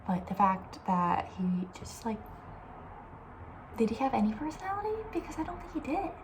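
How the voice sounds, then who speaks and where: A woman speaking softly with breathy, near-whispered edges, chatting casually and rambling with playful opinions as an ASMR host. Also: fairly high pitch, sing-song, normal speed, a little hiss or hum, poor audio. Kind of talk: soft-spoken ASMR casual chat.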